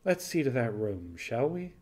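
A man reads as a kindly animal captain of a space pirate crew in an audiobook. The delivery is measured and frank, persuasive yet reassuring, at a medium pitch. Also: very animated, dark tone. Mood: understanding, reassuring.

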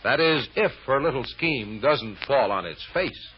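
A man's voice: medium pitch, expressive, a little slow. An American man narrating a scripted old-time radio serial in a wry, conversational storyteller's manner. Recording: dull, noisy vintage radio recording.

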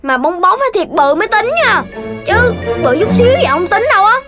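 An actor voicing an excitable, boastful child animal in a children's cartoon, exclamatory and childlike. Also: very high voice, brisk. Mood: scoffing. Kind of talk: animated children's series voice acting. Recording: music behind the voice.

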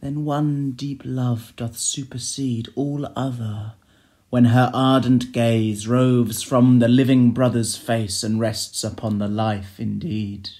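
A man gives a dramatic reading of classic poetry at a very slow pace. His mid-pitched voice is solemn and expressive, with measured phrasing that often softens toward a hush. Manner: solemn, measured, expressive delivery with hushed line endings. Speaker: poetry reader. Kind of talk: dramatic recitation of classic verse.

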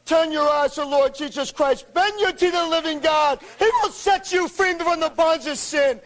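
A middle-aged man giving a fiery, preacher-style public comment at a school board meeting, often shouting, speaking into a podium microphone. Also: extremely high pitch, normal speed.

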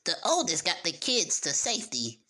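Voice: medium pitch, huge pitch swings, normal speed, pushed and bright, pitched above the performer's own voice, heavily acted. A performer voicing a grave, ominous male ink demon with a caring streak, in a cartoon comic dub.

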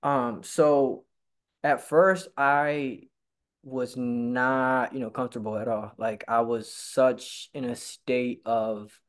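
A man's voice: medium pitch, steady tone, slow. Young man who stutters, many fillers. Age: young adult. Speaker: guest. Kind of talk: casual video-call interview. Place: home room by a staircase. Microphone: laptop webcam on a video call.

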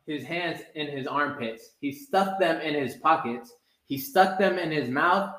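A man in his twenties reading a children's story aloud, measured, calling out the characters' exclamations. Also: on the high side, fairly bright tone. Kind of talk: animated read-aloud storytime. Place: indoor room with blinds, sitting. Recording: roomy echo.

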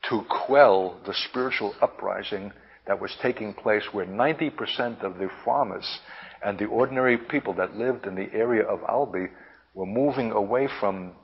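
A man preaching and teaching in a deliberate, emphatic manner with lofty wording, halting now and then to repeat himself. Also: on the low side, slight background noise. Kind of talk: impassioned prophetic sermon, teaching. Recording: dull, lo-fi sermon recording.

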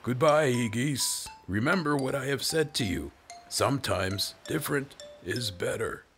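A man voicing a serene, gentle bridegroom who is melting away in an animated film. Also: medium pitch, measured pace, soft background music. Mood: solemn farewell.

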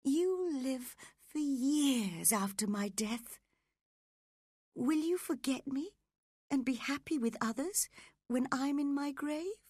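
A woman voicing a frail, dying young wife, passionate and desperate, with breathy, pleading drama, in a storybook reading. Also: sits high, expressive, slow pace, a little mellow, dry recording, studio quality, voice pushed up. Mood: wounded, pleading.

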